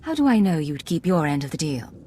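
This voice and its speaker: An actress playing a resolute imperial secret agent in a video game, cool, clipped and controlled. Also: female voice, low voice, big pitch swings, brisk, warm tone, breathy edge, exaggerated voice. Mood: guarded.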